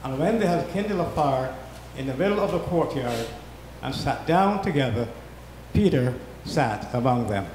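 A man giving a solemn, deliberate dramatic reading of scripture in a church. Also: mid-range voice, expressive. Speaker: reader in a church Passion reading. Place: church, before a congregation. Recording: echoey church, clearly noisy background.

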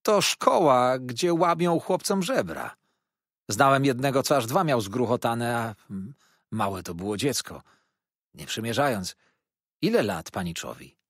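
Storybook role: sly jovial servant, acted by a man, talkative and dramatic, very animated delivery with a rough edge. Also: medium pitch, measured pace, dry recording, studio quality, full character voice. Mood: mock-sorrowful. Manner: chatty, exclaiming, theatrically dramatic. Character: sly, jovial manservant with a hearty appetite. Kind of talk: dramatised audiobook reading.

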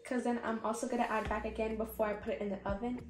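Young woman, slightly high voice, relaxed, explaining a recipe, far from mic, echoey kitchen. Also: racing, faint music behind. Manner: relaxed, explains steps plainly. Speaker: guest cook. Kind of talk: casual kitchen chat. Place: home kitchen.

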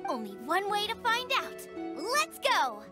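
A woman's voice: very high voice, wildly swooping pitch, unhurried, exaggerated voice. A woman voicing an eager, impulsive teenage girl cook in an anime-style video game, perky and exclamatory. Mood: excited. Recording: game music behind the voice.

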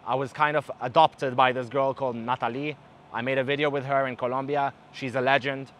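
A man's voice: mid-range voice, a little fast. A young man with a mixed English accent, partly South African, talking casually and animatedly to the camera on an outdoor balcony at night. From Israel. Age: young adult. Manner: animated, gestures with his hands. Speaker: travel vlogger.

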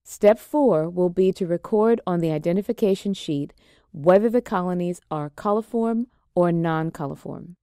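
A woman giving scripted, step-by-step instructional narration in a steady, measured way. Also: lowish voice, smooth, no room sound, clean studio sound. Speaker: extension educator.

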